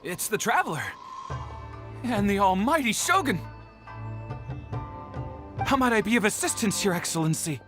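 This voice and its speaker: A woman's voice: mid-range voice, very animated, normal speed, pauses often, over music, natural voice. A woman voicing a young, deferential servant in a game, nervous, humble and stammering. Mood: polite, flustered. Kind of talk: game character dialogue.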